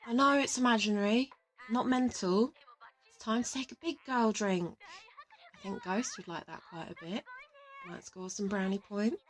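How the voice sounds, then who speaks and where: A woman's voice: medium pitch, moderate pace, soft background music, natural voice. A woman playing a confident, teasing interrogator from an assassin team in an audio roleplay, affectionate and boastful. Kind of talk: audio roleplay addressed to the listener.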